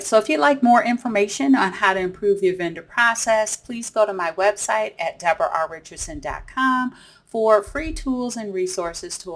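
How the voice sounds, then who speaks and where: Low-pitched woman explaining business tips. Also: fairly bright tone. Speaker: host of a tips series. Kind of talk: instructional explainer for business teams.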